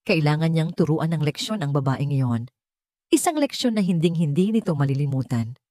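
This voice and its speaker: A woman's voice: fairly low pitch, darkish tone, no echo, clean studio sound. A woman with a Filipino accent reading a scripted story as a dramatic narrator.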